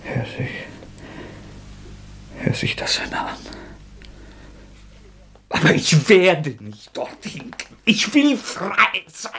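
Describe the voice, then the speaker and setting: An actor playing a tormented, defiant young man confessing to murder in an agitated, anguished German radio drama performance, with a husky voice that often breaks. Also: high-pitched, huge pitch swings, pushed and bright, in a whisper, full character voice. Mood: bitter, defiant. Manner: agitated, anguished, halting outbursts.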